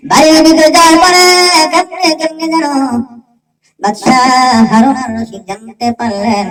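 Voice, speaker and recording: A woman narrates an Islamic tale dramatically in a fairly high voice. She leans on phrases, repeating them for emphasis. The voice sits a little far from the mic, with music behind it. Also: expressive, moderate pace. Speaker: religious storyteller.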